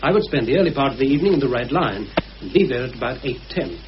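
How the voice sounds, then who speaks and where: An actor playing a calm, calculating British war veteran who lays out a murder plan in a measured, persuasive way, in mid-century radio drama style. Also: male voice, medium pitch, lightly acted. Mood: methodical, persuasive. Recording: muffled, hissy old radio recording.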